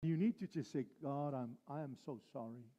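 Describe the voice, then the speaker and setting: A male pastor preaching a sermon, driving his points home with slow, repeated phrases. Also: medium pitch, mellow, muffled recording.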